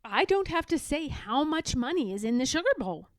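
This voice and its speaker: A woman voicing a sly, firm young girl in a storybook, speaking with deliberate emphasis. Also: sits high, very animated, dry recording, clean studio sound, voice pushed up. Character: young girl, firm, sly, singsong, standing her ground.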